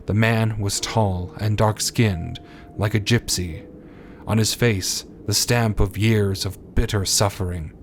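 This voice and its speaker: A man's voice: fairly low pitch, steady tone, slow pace, a little hiss or hum. A man announcing and narrating a radio drama in a solemn, theatrical, scripted style. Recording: loud music behind voice. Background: loud music.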